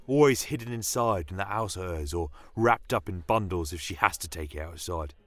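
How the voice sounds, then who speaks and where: A man's voice: sits low, fairly bright tone, no echo. Man doing a frank, folksy tavern barkeep. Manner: informal, folksy, blunt. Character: large ginger male tavern barkeep, frank and gossipy.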